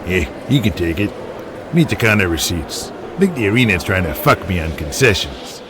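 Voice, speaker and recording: An actor playing a self-assured wrestling promoter in an audio drama, casual and businesslike. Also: male voice, deep voice, very animated, normal speed. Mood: breezy, businesslike.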